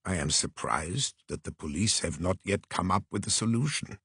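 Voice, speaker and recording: Man voicing a low, courteous, measured detective. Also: expressive, creaky, fairly bright tone, slightly rough, dry recording, clean studio sound, heavily acted. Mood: thoughtful. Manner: formal, precise, courteous. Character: polite, measured, deliberate male private detective. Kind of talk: audiobook character voice.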